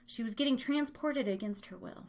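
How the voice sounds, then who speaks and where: A woman's voice: a little high, moderate pace, darkish tone. A woman hosting a casual live online show, chatting in a playful, rambling way and teasing herself.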